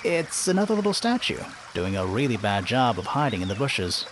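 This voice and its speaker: A man playing a quippy, sarcastic detective with a wry, expressive delivery in a mystery adventure game. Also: mid-range voice, brisk, noisy background, lightly acted. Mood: wry, unimpressed. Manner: wry, dryly joking, expressive. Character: quippy, sarcastic male detective, curious and easily baffled.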